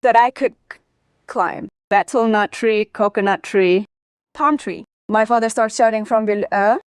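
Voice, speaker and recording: A woman's voice: fairly high pitch, measured pace, clear, resonant tone, no echo, clean studio sound. A woman, an interview guest telling personal stories and acting out quoted family dialogue as she goes. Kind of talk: personal storytelling.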